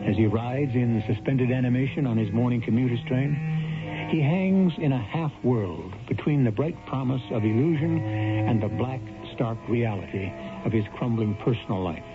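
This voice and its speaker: A man playing the solemn, ominous host of a 1970s radio mystery, narrating in a grave, theatrical, measured way. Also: medium pitch, expressive, over music, natural voice. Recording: muffled, narrow old radio recording.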